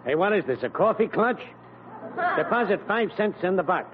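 High, gruff, impatient bus driver. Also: male voice, animated, normal speed, crowd noise. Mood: brusque, impatient. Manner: curt, bossy, slangy. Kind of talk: 1940s radio comedy acting. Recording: very noisy, dull old radio recording.

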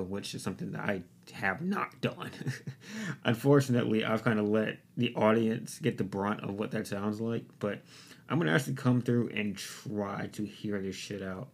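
Young man, lowish voice, rambling solo podcast host, swears a lot, desktop mic. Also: creaky. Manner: rambling, profane, self-deprecating. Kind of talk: casual solo podcast monologue. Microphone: desktop USB microphone.

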